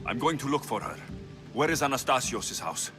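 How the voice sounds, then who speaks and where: An actor playing a grieving, hot-tempered young warrior of ancient Greece in a video game, blunt and accusing. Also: male voice, mid-range voice, lively intonation, moderate pace, music in the background, close to an everyday voice. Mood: urgent. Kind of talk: dramatic video game acting.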